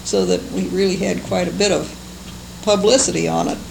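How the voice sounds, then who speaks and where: An older American woman recalling her life for an oral history, speaking in a halting, unhurried, fond way. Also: fairly low pitch, fairly even intonation, vocal fry. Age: older, seventies or above. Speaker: interviewee, longtime local resident. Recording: noisy amateur oral history recording.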